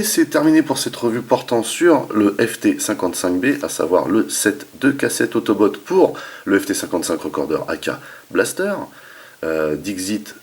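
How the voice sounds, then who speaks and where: Low, gravelly man reviewing casually. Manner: informal, enthusiastic, slangy commentary. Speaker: toy review host. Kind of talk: casual hands-on product demonstration.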